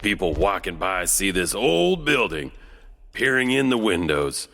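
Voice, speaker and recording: A man's voice: low-pitched, big pitch swings, unhurried, bright, forceful tone. A man narrating as an enigmatic janitor host, telling spooky tales with eerie chuckles and a drawling delivery. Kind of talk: spooky scripted horror narration.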